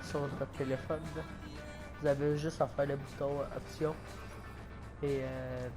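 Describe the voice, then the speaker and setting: A Québécois man with a head cold talks through a video game in a slightly high, flat voice. His delivery is halting, full of fillers and restarts, with a stuffy, coughing edge throughout. Game music plays clearly beneath him. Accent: Québécois French. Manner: hesitant, many fillers and restarts. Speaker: gaming YouTuber. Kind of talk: casual gameplay commentary.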